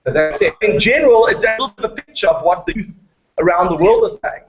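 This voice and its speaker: High-pitched, animated South African man. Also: brisk. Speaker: host, educator. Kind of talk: hosting a live classroom video call. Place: room with students, on a video call. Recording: muffled lo-fi video call with background music.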